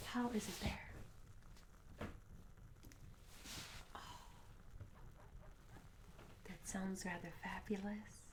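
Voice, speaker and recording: A charming young tavern maid, acted by a woman in a fantasy ASMR roleplay. She speaks in a soft, breathy half-whisper, courteous and coy, with gentle storybook phrasing. The mic is close, with clear noise and small clicks around the voice. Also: mid-range voice, close to an everyday voice. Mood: wistful. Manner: gentle, polite, old-fashioned storybook phrasing. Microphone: close ASMR microphone.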